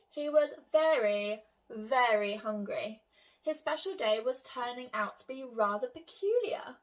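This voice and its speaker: Woman, high voice, slow pace, teacher-style storybook read-aloud, expressive, speaking to children. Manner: expressive, addressing young listeners with questions.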